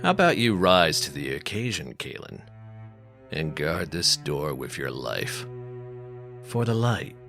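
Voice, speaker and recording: A man's voice: a bass voice, very animated, unhurried, music in the background, exaggerated voice. A man playing a pompous, fatherly cult leader in an audio drama, speaking formally like a preacher. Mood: solemn, persuasive. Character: menacing male cult leader, smooth fatherly zealot.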